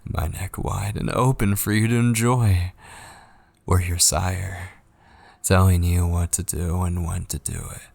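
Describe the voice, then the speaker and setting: A man playing an obsessive, possessive kidnapper and coaxing lover in an intimate, whispery ASMR roleplay, husky and close to the mic. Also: sits low, fairly even intonation, darkish tone, no echo, heavily acted. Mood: menacing, devoted. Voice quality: husky, breathy, often dropping into whispers. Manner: slow, coaxing, possessive endearments. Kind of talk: intimate ASMR roleplay, scripted dramatic monologue. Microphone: close studio mic, dry.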